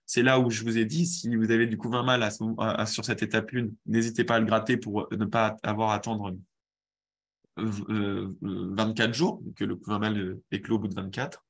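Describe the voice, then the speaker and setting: A man with a head cold presenting in a webinar, explaining a method in a halting way with many restarts. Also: fairly low pitch, gravelly, breathy edge.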